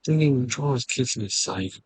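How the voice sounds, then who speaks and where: A man giving casual gameplay commentary in a hesitant, halting, musing way. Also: mid-range voice, lively intonation, background noise. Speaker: video game streamer.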